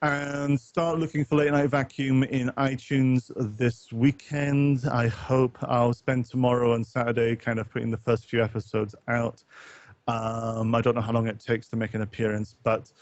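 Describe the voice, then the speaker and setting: A man's voice: medium pitch, little pitch movement, moderate pace, no room sound. A man co-hosting a podcast, chatting casually with live viewers in a rambling, hesitant, wry way. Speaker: podcast co-host and streamer. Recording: dull, close, lo-fi voice chat.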